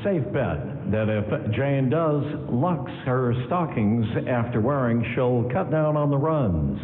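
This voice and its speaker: A man's voice: mid-range voice, expressive, slow. A man announcing in a scripted 1940s radio style, reading an ad and narration from a large hall stage. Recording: echoey hall, tinny narrow radio broadcast.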